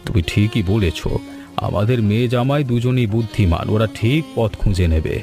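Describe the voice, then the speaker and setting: A man voicing an anxious, earnest village family man in an animated story. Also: fairly low pitch, normal speed, faint music behind, natural voice. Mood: worried. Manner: worried, earnest. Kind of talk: Bengali animated story dialogue.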